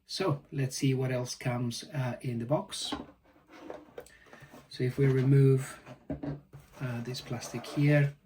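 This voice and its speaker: A man giving a casual, halting unboxing commentary as a product reviewer. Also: medium pitch, fairly even intonation. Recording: distant mic, faint background noise.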